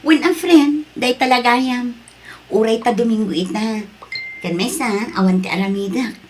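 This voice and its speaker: A woman playing an earnest working mother in an Ilocano drama, with a husky voice and Ilocano accent. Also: fairly high pitch, normal speed, slight background noise, natural voice. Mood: friendly, earnest. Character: Maria, earnest working single mother with a new boyfriend. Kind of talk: Ilocano radio-style drama acting.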